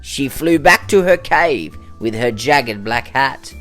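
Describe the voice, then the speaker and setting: A man narrating a rhyming children's storybook as host, in an expressive, dramatic storytelling manner. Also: medium pitch, takes it slowly, faint music behind. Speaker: storyteller, host of a children's read-aloud show.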